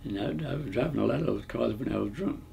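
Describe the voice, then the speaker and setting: A man's voice: medium pitch, quick pace, gravelly, warm tone, slight background noise. A man in his nineties reminiscing in casual conversation, mostly answering in short agreeing replies. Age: 90s. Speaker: interviewee.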